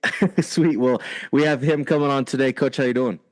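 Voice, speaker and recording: A man's voice: mid-range voice, fairly even intonation, moderate pace. A man hosting a podcast, welcoming his guest in a friendly, rambling way with many fillers. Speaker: podcast host. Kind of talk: casual interview hosting.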